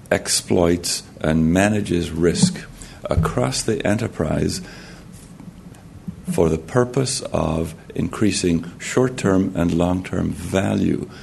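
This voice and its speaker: A man with a Jamaican accent giving a formal talk at a conference podium, measured and halting, often clearing his throat and restarting. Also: deep voice, a little mellow, a little hiss or hum. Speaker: conference speaker. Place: conference hall.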